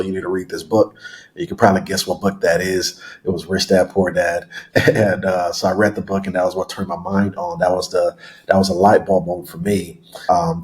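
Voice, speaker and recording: A man in his thirties, born in Nigeria and raised in Houston, tells his story as a podcast guest. His voice sits very low and stays level, with little rise and fall. He rambles easily and restarts his sentences often. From Nigeria, raised in Houston, Texas. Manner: relaxed, rambling, with self-corrections and filler words. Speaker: guest, real estate investor.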